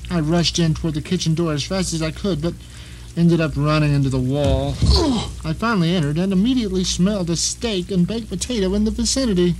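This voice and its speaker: A man's voice: a little high, brisk, a little mellow, slight background noise, lightly acted, rough recording. A man playing a blind, paranoid, self-important middle-aged captive, narrating an audio drama in a theatrical, suspenseful way. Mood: anxious, suspicious.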